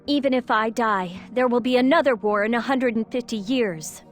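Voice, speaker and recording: A woman's voice: fairly high pitch, normal speed, soft background music, natural voice. A woman voicing a resolute, noble young princess in a fantasy video game, speaking in a composed, formal, earnest way. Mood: defiant. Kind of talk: video game cutscene acting.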